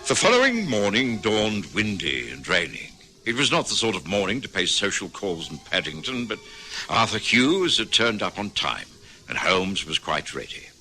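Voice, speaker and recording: An actor with a British accent playing a courteous, slightly hesitant middle-aged doctor who also narrates, in a vintage radio drama. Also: male voice, on the low side, very animated, moderate pace, sharp tone, soft background music, full character voice. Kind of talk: 1950s-60s radio drama acting.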